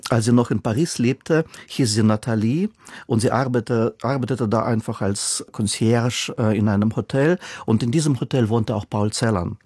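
A man's voice: medium pitch, normal speed, darkish tone, slightly rough, no echo, clean studio sound. A man whose first language is not German, speaking as an author in a radio interview, thoughtful and given to repeating words for emphasis.

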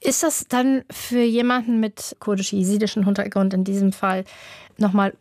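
News lady asking questions, dry close sound, calm and clear. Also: mid-range voice, moderate pace, no echo. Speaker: news presenter. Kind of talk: broadcast interview and news presentation.

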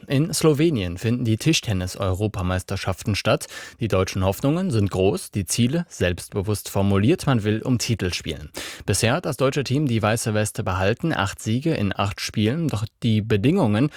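A male radio sports presenter reading scripted links. His voice sits fairly low and is recorded very dry and close. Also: expressive, normal speed, a little mellow, no echo.

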